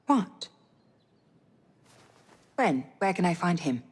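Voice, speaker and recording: A woman's voice: fairly low pitch, very animated, slow, in a large hall. An actress playing a proud young noblewoman seeking revenge, speaking formally and pointedly in a dramatic video game. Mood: guarded, bitter.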